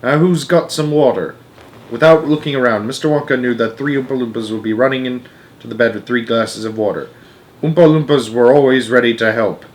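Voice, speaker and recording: A man reading a children's story aloud as an expressive narrator. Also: mid-range voice, fairly quick, low-quality recording.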